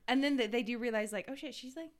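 A young woman with a soft voice, a pro fighter chatting casually as a podcast guest, laid-back and swearing freely. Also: fairly high pitch, quick pace.

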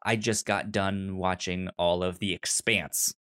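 A man with a fairly low voice co-hosts a casual discussion show. His delivery is halting and informal, and he stutters, repeating syllables and words as he goes. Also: fairly even intonation, a bit bright, clean tone. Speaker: podcast co-host. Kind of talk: casual book and film discussion.

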